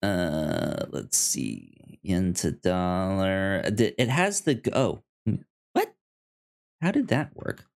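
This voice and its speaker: A man co-hosting a podcast, casually discussing film in a halting way, often repeating and stammering over his words. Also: low-pitched, big pitch swings, gravelly. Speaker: podcast co-host.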